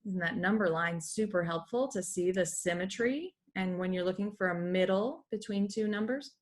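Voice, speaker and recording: A woman's voice: mid-range voice, normal speed. A woman teaching through an educational read-aloud, explaining as she goes and slipping in informal asides. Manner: explanatory, acts out dialogue, breaks off for asides. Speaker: teacher reading a math comic aloud.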